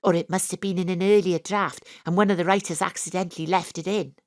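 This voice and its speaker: A woman's voice: lowish voice, fairly even intonation, fast talker, very noisy, close to an everyday voice. A woman playing a daydreaming detective narrator. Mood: puzzled. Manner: melodramatic, self-mocking first-person narration. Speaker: narrator of her own story. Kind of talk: live radio drama acting. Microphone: home studio mic.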